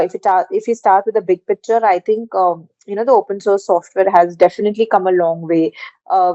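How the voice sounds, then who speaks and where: A woman's voice: mid-range voice, a little mellow, dry recording, muffled recording. A woman hosting a podcast interview, asking long winding questions with many hesitations. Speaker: podcast host.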